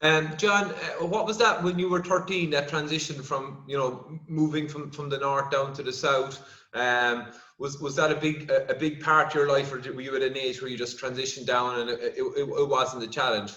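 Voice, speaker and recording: A man's voice: medium pitch, steady tone, moderate pace, sharp tone. Irish man hosting in an echoey room. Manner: hesitant, repeats words while building questions. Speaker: podcast host, vet. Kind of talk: conversational interview.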